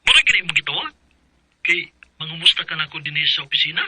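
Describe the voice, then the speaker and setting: A man's voice: fairly high pitch, expressive, fast, very noisy, natural voice. A man with a Filipino accent acting a teasing, knowing office colleague in a radio drama.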